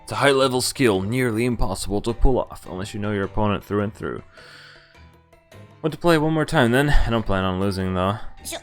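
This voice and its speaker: A woman's voice: very deep voice, fairly quick. A woman streamer reading visual novel text aloud expressively, dropping in casual, sweary asides of her own. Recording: game music behind the voice. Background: visual novel background music.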